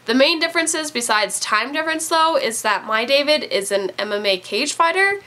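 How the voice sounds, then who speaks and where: A woman's voice: sits high, expressive, moderate pace, sharp tone. A woman in her twenties with a stuffy, nasal voice from a cold, chatting casually as a book reviewer. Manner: chatty, rambling with fillers.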